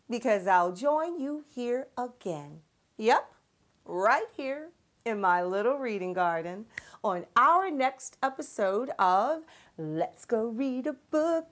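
A middle-aged woman hosting a children's read-aloud, with expressive, emphatic and encouraging storytelling. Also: a little high, takes it slowly.

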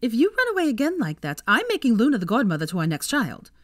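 A brash young witch from a storybook, acted by a woman narrator. She is snappy and playful, her pitch raised well up and swinging freely. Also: sing-song, a little fast, no echo, studio quality.

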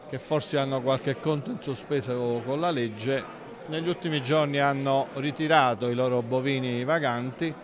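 A man gives formal interview answers as a mayor, in measured institutional phrasing. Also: mid-range voice. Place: large indoor council hall. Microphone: handheld interview microphone.

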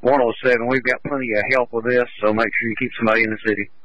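A man's voice: medium pitch, level tone, racing, no echo. A male police officer giving terse messages over a two-way radio. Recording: dull police scanner radio.

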